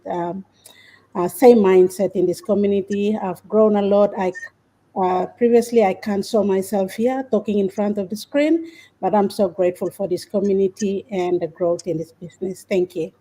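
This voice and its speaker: A woman's voice: medium pitch, steady tone, moderate pace, mellow, breathy edge, poor audio. A woman from Papua New Guinea introducing herself on a video call, a little hesitant, repeating words as she goes.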